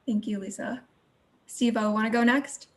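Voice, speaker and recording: A woman's voice: on the high side, steady tone, normal speed. A woman hosting and moderating an online panel, politely guiding participants over a video call. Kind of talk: polite event facilitation.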